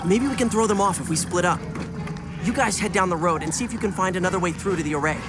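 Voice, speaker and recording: A man's voice: sits high, quick pace, lightly acted. An actor playing a brave, confident teenage boy hero in a video game, earnest and casual. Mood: determined. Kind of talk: video game cutscene voice acting. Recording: music behind the dialogue.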